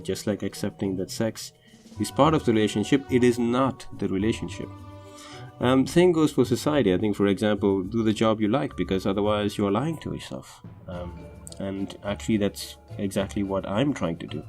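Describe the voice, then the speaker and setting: A man whose first language is Tamil talks through a film analysis in a loose, unscripted way, full of ums, uhs and restarts. His voice sits on the low side with a dark, mellow tone. Faint music plays quietly beneath his voice. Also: fairly quick. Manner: hesitant, many fillers and restarts. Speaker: film analysis podcast host. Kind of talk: casual unscripted movie commentary. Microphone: home recording over drawings.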